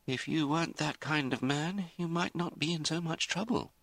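Man playing a shrewd, measured lawyer, raised pitch. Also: animated, gravelly, pushed and bright, no room sound, full character voice. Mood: gently resigned. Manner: measured, reasoning. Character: shrewd, measured male lawyer, gently resigned. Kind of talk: audiobook character acting.

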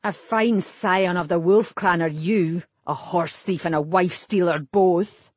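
A woman's voice: on the low side, measured pace, slightly rough, dry recording. A woman voicing an angry, scornful elven sorcerer in an audiobook, with a snarling, commanding manner. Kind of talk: audiobook character acting.

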